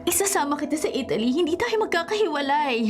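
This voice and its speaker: A woman's voice: sits high, lively intonation, fast talker, soft background music. A woman playing a sweet, reassuring young bride in love in a radio drama. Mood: tender reassuring.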